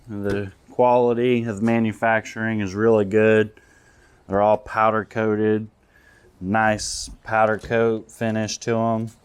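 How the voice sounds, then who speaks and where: A man's voice: on the low side, smooth. A man working as an audio-video installer gives a casual, plain-spoken product walkthrough outdoors, addressing viewers directly. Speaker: audio-video installer presenting his work. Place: outdoors at a client's house and patio.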